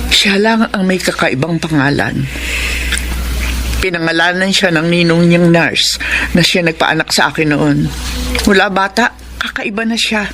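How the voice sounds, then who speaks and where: A woman's voice: fairly low pitch, fairly bright tone, a little hiss or hum. Wistful, sorrowful older mother. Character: wistful, regretful older mother longing for her daughter. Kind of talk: Filipino radio drama acting.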